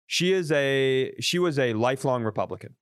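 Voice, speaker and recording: A man tells a story in casual podcast chat, animated and quick to swear, often breaking off and restarting his sentences. His voice sits mid-pitch and sounds dry and close, with no room around it. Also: smooth, dry recording. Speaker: comedian podcast host.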